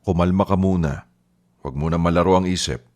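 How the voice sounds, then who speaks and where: An actor playing a gentle, apologetic middle-aged father who has fallen ill, speaking earnestly. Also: low-pitched, fairly even intonation, brisk, close to an everyday voice. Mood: firm. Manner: earnest, pleading. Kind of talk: radio drama acting.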